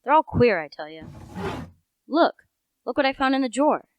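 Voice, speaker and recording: A woman's voice: high voice, sing-song, moderate pace, smooth, no echo, muffled recording. A teenage female actor playing an opinionated, suspicious rustic village constable in a radio play, folksy and insistent. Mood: indignant, suspicious.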